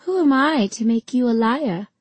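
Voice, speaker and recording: A woman voicing a cold, vengeful villainess in an audiobook, speaking with calm, soothing, taunting menace. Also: fairly high pitch, normal speed, slightly rough, no echo, pitched above the performer's own voice.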